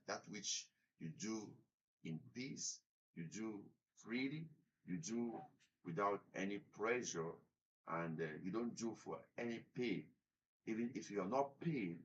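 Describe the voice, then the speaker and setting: A man with a Cameroonian accent giving a prepared motivational talk as a career coach, in deliberate, emphatic phrases. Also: low voice, gravelly, a bit bright. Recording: distant mic with background noise.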